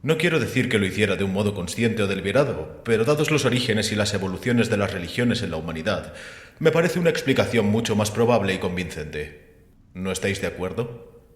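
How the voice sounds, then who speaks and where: A male actor plays a learned, unshakeable sceptic in an audio drama. His low voice lays out arguments calmly and deliberately, with gentle mockery and probing questions. It rings through an echoing hall with a long reverb tail. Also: close to an everyday voice. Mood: reasoning, persuasive. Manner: measured, explanatory, rhetorical questioning. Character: calm, sceptical, erudite man called Revelation, gently mocking. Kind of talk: Spanish audio drama acting.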